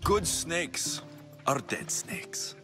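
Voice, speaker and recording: A man's voice: fairly high pitch, big pitch swings, drawn-out words, over music, heavily acted. A man playing a blunt, sardonic young mercenary hero in a video game cutscene, with dry delivery.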